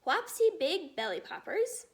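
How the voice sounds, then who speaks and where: A woman voicing a gentle, friendly storybook giant who speaks in muddled grammar and invented words. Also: very high-pitched, sing-song, unhurried, pitched above the performer's own voice, heavily acted. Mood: puzzled, explaining.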